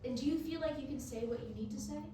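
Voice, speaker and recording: A measured, patient female therapist in a stage drama, played by a woman, probing with questions. Her voice is fairly high and comes from far off, with echo around it. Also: natural voice. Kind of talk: staged reading dialogue. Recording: echoey room from far off, background noise.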